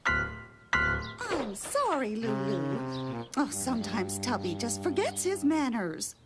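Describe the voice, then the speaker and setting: A woman's voice: on the high side, very animated, slow pace, loud music behind the voice. A woman playing a patient, placating mother in a children's cartoon, with a soothing manner. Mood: apologetic.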